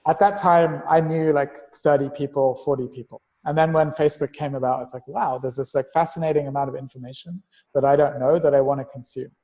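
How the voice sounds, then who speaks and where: A man's voice: medium pitch, steady tone, brisk, mellow, big-room sound. A man speaking as a guest in a casual podcast conversation, explaining ideas in an analytical, abstract way. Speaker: interview guest, tech investor and entrepreneur.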